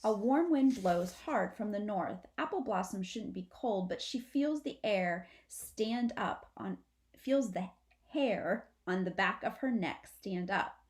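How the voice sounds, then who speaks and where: A woman's voice: mid-range voice, expressive, takes it slowly, darkish tone. A woman, a teacher, narrates a children's story aloud for her students.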